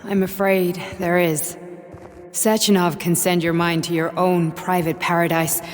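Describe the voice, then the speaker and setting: A woman's voice: fairly low pitch, flat delivery, a little slow, big-room sound, faint music behind, natural voice. An actress playing a blunt, cynical woman hacker with a dry delivery. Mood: cold.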